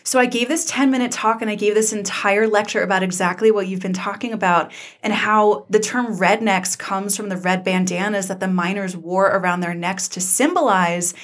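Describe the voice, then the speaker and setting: Female podcast co-host, Southern US accent, medium pitch, earnest and emphatic, conversational delivery. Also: steady tone, moderate pace, hardly any pauses. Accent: Southern American. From American South (Georgia). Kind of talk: conversational TV recap discussion.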